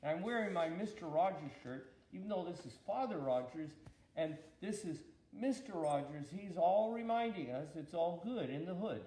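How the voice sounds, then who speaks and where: An older man reading a children's storybook aloud in an expressive, grandfatherly way, with deliberate pauses and chatty asides. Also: a little high. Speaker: bishop reading Bible stories to his granddaughter. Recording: echoey room.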